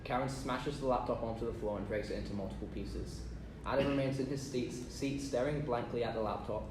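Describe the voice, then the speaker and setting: Casting: male table-read narrator, medium pitch, reading directions quickly in a roomy space. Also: fairly even intonation, crowd noise. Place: echoey room, off-mic. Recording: echoey, noisy, distant microphone.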